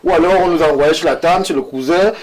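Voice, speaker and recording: A man's voice: fairly high pitch, quick pace, over a noisy crowd, poor audio. A man who hosts a studio debate, steering it with short prompts and questions. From Cameroon. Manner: brief prompts and interjections. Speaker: host.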